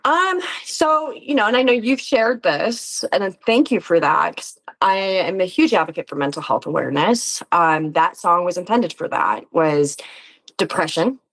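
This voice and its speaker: A woman's voice: fairly low pitch, animated, moderate pace, fairly bright tone. A woman talking casually and informally as an interview guest, thinking aloud as she goes. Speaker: podcast guest, game player, actor and musician.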